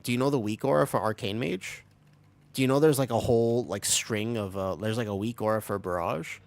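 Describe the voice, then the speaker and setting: A man streaming a video game live, chatting with viewers and explaining tactics while he plays, his voice still raspy from being sick. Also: on the low side, brisk, no room sound. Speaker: streamer playing a tank. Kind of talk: live gameplay commentary.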